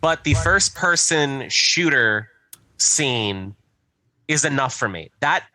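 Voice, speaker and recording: Bright-voiced man in casual podcast chat. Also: mid-range voice, animated, slow pace, no echo. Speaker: podcast co-host. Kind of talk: casual chat about movies.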